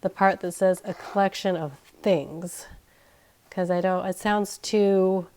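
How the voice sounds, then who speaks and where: A woman in her thirties talks slowly and easily, thinking aloud with a self-mocking streak. Her voice is nasal and a little crackly from being sick, yet smooth underneath. She chats to viewers while unboxing at home. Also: mid-range voice, steady tone, a little mellow. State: nasal and crackly from recovering illness. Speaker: unboxing vlogger. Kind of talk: casual unboxing chat. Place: indoors at home, carpeted floor. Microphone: camera pointed down at items, speaker off-screen.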